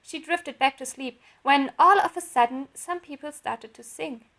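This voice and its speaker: A woman's voice: high voice, normal speed, a bit bright. A woman reading her own written story aloud as a narrator, in an audiobook style.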